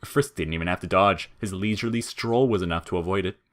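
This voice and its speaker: A man narrating scripted audio fiction in a measured storytelling manner. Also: low voice, a bit bright.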